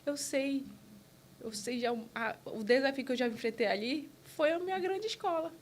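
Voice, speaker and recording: A woman's voice: high voice, moderate pace, slight background noise. A woman from Brazil, an entrepreneur being interviewed on a podcast, speaking earnestly in short bursts with frequent restarts. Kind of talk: casual interview conversation.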